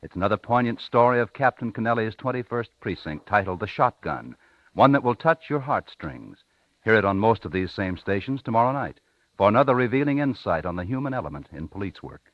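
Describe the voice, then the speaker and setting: Male announcer, lowish and measured, polished 1950s radio promo read, dry but dull vintage sound. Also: expressive, no room sound.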